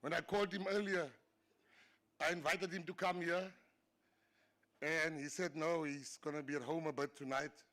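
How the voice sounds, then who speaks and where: A man's voice: on the high side, steady tone, normal speed, pauses often, pushed and bright. A South African man whose first language is Afrikaans, a government minister giving a humorous after-dinner speech, telling stories and laughing at his own jokes. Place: banquet hall.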